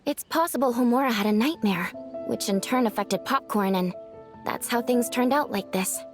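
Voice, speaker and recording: An actress playing a gentle, earnest young female spirit who runs a bathhouse in an anime game, speaking politely and a little hesitantly. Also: fairly high pitch, moderate pace, music in the background, close to an everyday voice. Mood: earnest explaining. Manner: polite, hesitant, soft-spoken explanations. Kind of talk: anime game dialogue acting.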